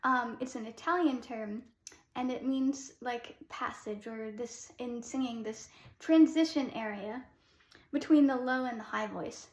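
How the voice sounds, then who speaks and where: A young adult woman, a classical soprano, giving a relaxed vocal lesson in a chatty way with many ums. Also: on the high side, measured pace, echoey room.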